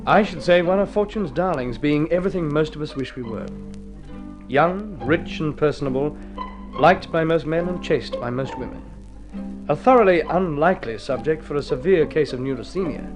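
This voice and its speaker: A man playing an urbane storyteller in old radio drama, narrating in a measured, polished, literary way. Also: a little high, expressive, lightly acted, poor audio. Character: urbane male storyteller introducing a friend's tale. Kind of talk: mid-century radio scripted narration. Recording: old radio recording, music behind.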